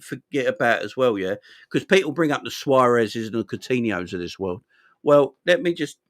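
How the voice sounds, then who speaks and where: A man hosting a casual football chat, rambling, animated and a bit sweary. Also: mid-range voice, dry recording, studio quality. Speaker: football channel host.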